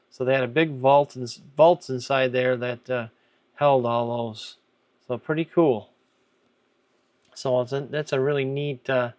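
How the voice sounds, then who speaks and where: A man's voice: medium pitch, no room sound. A man in his fifties chatting casually and unscripted as a hobby video host, in an easygoing, rambling, folksy way. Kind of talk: casual vlog chat, unscripted.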